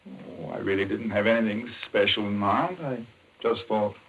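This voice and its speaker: An actor playing a polite, reserved, curious gentleman doctor in a 1940s radio mystery. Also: lowish voice, fairly even intonation, normal speed, husky. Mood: casual, polite. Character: educated gentleman doctor, curious chess enthusiast. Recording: muffled, band-limited 1940s radio recording.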